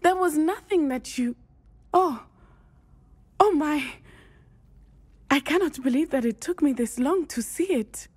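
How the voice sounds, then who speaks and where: A woman's voice: high voice, animated, unhurried, pauses often, darkish tone. A woman playing an earnest, brave teenage schoolgirl witch in a video game, with formal, precise phrasing. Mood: earnest, emotional. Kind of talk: scripted video game cutscene acting.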